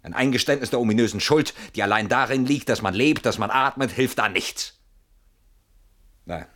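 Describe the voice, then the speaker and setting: A man's voice: mid-range voice, moderate pace, a bit bright, natural voice. An actor playing an anxious, brooding man in a German radio play, defensive and articulate, turning things over with theatrical irony. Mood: brooding, analytical.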